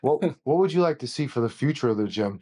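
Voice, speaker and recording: A man's voice: mid-range voice, expressive, fast talker, darkish tone, slightly rough. A man hosting a casual interview and gym tour, upbeat with brief prompts. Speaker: host.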